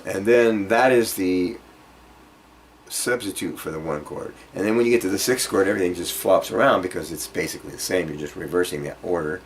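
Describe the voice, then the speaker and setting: A man with a low-pitched voice teaches an informal music workshop. He wanders through his explanations, thinking out loud and poking fun at himself, with prominent guitar playing alongside his talk. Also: fairly quick. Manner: rambling, self-deprecating, thinking aloud. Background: acoustic guitar being played.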